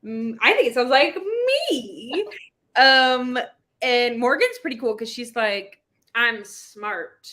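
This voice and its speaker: Female book club host, livestream chat. Bright and forceful, on the high side, exclamatory and full of slang. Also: slow pace. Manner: playful, exclamatory, slangy, spells out internet abbreviations.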